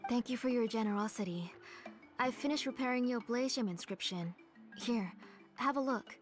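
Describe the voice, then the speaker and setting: A woman playing a gentle, polite, caring mother in a video game. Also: a little high, normal speed, natural voice. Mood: polite, kind. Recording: light music behind.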